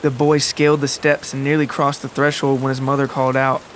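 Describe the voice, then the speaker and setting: A man's voice: mid-range voice, little pitch movement, a little fast, a bit bright, slight background noise. A man hosting and narrating his own scripted storytelling podcast. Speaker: podcast writer, narrator and host. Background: guitar music and rain.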